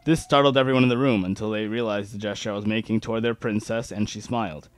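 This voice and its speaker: A man's voice: fairly low pitch, fairly even intonation, quick pace, dry recording. Man narrating a story, dry close sound. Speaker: amateur fan-fiction reader. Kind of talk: first-person dramatic story narration.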